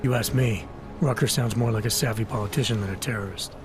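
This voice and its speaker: Skeptical special agent, man acting dry and terse, lowish voice, video game scene over music. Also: fast talker, natural voice. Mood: doubtful. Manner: laconic, dry, questioning.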